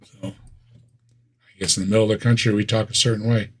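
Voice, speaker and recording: A man's voice: on the low side, flat delivery, normal speed, breathy edge. A man with a Midwestern American accent, co-hosting a casual reaction chat with wry, sarcastic asides and frequent laughs. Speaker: co-host reacting to videos.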